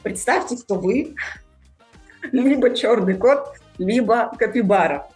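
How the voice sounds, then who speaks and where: A woman's voice: on the high side, slow, a little mellow, soft background music. A woman speaking conversationally as a podcast host.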